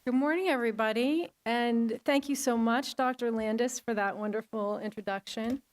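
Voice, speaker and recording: A middle-aged woman, a hospital risk manager, giving a chatty, enthusiastic conference talk in a large auditorium with a loud voice and many fillers. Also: a little high, moderate pace.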